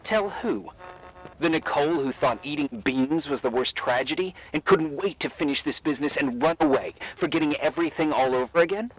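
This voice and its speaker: A man's voice: fairly high pitch, fairly quick, natural voice, poor audio. A man playing an earnest, reproachful character in tense video game dialogue, insistent and confrontational, with some noise behind him. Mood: insistent, defensive. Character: earnest, reproachful man defending his deception.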